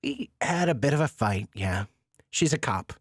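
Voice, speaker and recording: An actor playing a kind, earnest social worker in an audio drama, speaking gently and hesitantly with reassurance. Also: male voice, medium pitch, wildly swooping pitch, normal speed, slightly rough, no room sound, clean studio sound, exaggerated voice. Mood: modest, warm. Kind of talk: naturalistic audio drama dialogue.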